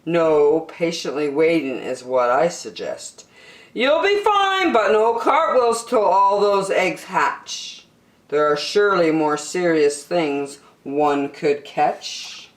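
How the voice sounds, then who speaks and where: Lady doing a serious storybook doctor guy, slow n emphatic, bit echoey. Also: deep voice, expressive. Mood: firm advice. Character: male doctor, grave, slow, advising patience. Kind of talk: storybook character voice.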